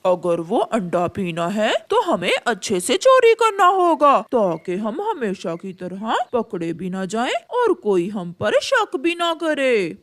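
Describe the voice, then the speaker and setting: A woman as a sly, high-voiced crow schemer. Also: huge pitch swings, slow, hardly any pauses, heavily acted. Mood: scheming. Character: cunning male crow, egg-thieving father and plotter.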